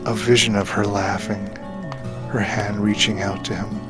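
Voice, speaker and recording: A man narrating a dramatic scripted story in a measured, solemn way, with phrases sometimes falling hushed and breathy. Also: fairly low pitch, flat delivery. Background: background music.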